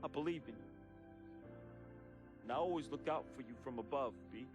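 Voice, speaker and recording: An actor playing a remorseful, devoted young man who confesses and says farewell in a dramatic video game monologue, with slangy phrasing and deliberate pauses. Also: medium pitch, natural voice. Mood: resigned, loving. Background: music.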